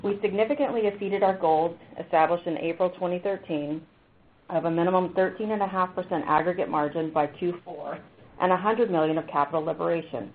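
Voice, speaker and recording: A woman's voice: fairly low pitch, fairly quick. A woman reading a scripted financial report as a company executive in a formal, businesslike way on a conference call. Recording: tinny phone-line conference call.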